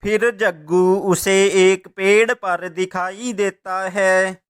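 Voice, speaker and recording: A male narrator reads a scripted children's story. His delivery is very slow, with an exceptionally clean, smooth voice. Each sentence is told as plain storytelling. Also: high voice, steady tone.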